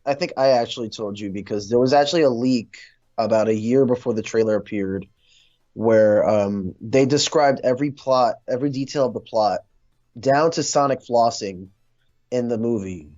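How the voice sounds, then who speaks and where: A man chatting casually as co-host of a movie discussion, hesitant, with many pauses and fillers. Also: mid-range voice, a little mellow, no room sound. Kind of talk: casual chat. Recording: dull lo-fi audio, music bed. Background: music.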